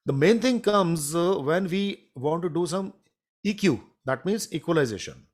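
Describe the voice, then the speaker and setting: Baritone guy from india showing off his mixer, lots of uh and you know, home studio mic set back a bit. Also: expressive, moderate pace. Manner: hesitant, many fillers and restarts. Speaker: music studio instructor. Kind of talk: live audio mixing demonstration. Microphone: condenser microphone at a distance.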